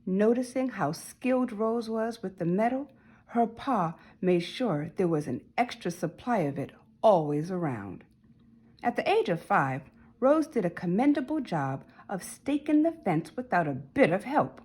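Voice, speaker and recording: A woman reading a children's story aloud in an expressive way, with dramatic emphasis and drawn-out words. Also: mid-range voice, husky. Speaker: storybook reader.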